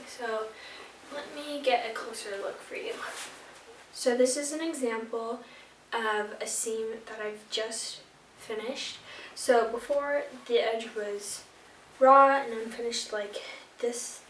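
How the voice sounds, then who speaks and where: A young woman in her twenties with a slightly hoarse voice from being sick, casually explaining sewing steps with lots of ums. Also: on the high side, fairly bright tone, slight background noise. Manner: chatty, filler-heavy explaining, many ums. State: hoarse, recovering from illness. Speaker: sewing vlogger. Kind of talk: casual tutorial vlog. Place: home sewing room at night. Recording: echoey room, distant camera mic.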